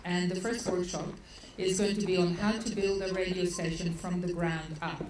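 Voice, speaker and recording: A woman's voice: lowish voice, fairly even intonation, moderate pace. A woman from Australia, an event organizer giving chatty opening remarks at a seminar, laughing often and using many fillers. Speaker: event organizer introducing speakers. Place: conference hall. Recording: echoey hall, far from the mic, noisy.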